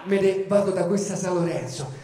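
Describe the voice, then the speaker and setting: A man's voice: high-pitched, brisk, noisy background. A man with a Roman accent performing a satirical theatrical monologue in an emphatic, repetitive litany. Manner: emphatic, repetitive litany delivery swinging from murmur to shouting. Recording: echoey reverberant space, music behind. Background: music.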